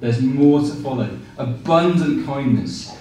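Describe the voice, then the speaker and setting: A man in his forties preaching a sermon with animated gestures and frequent fillers and restarts. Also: mid-range voice, measured pace, a little hiss or hum. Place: small room with blinds, indoors. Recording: very echoey room, from a distance.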